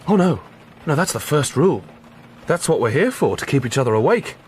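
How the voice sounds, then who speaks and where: A man's voice: a little high, sing-song, fairly quick, a little mellow, a little hiss or hum. An actor playing an eager, nervous young police constable who stumbles over his words in a radio crime drama. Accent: British English. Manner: hesitant, stumbling.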